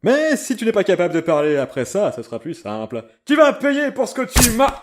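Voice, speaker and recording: A bombastic, bumbling superhero acted by a man with a high, wildly swinging voice. He declaims pompously, as in a comic audio serial, with music behind him. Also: sing-song, brisk. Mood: triumphant, menacing. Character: bombastic, inept male superhero, theatrical and easily rattled. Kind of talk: comic audio drama acting.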